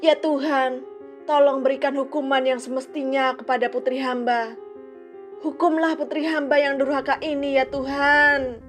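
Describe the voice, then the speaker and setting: A woman's voice: high voice, flat delivery, moderate pace, soft background music, lightly acted. A woman voicing a patient, grieving old mother in a children's cartoon, praying in a solemn, pleading way. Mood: sorrowful prayer.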